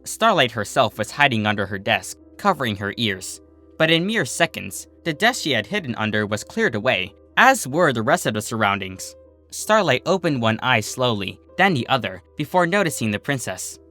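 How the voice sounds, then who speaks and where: Expressive male storyteller, bright voice, music underneath. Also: mid-range voice, normal speed. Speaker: storyteller reading fan fiction aloud. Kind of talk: expressive scripted story narration.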